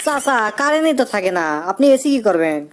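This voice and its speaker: A man's voice: very high voice, very animated, quick pace, noisy background. A man voicing a wily, grumbling young village headman in a comic cartoon, speaking with a rustic Bogura accent from northern Bangladesh. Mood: exasperated. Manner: rustic, complaining, wisecracking. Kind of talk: comic cartoon dialogue and monologue.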